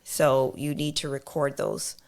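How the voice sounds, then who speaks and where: A woman explaining accounting on a podcast, patiently walking through step-by-step examples with many fillers, her voice slightly hoarse. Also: extremely low pitch, measured pace, a little mellow. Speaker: accountant guest.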